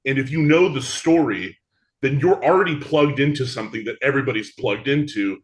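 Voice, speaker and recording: A man with an average-pitched voice speaks as an interview guest. His talk is casual and reflective, in an unscripted conversational style. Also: expressive, a little fast, rough recording. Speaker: interview guest, theater show creator.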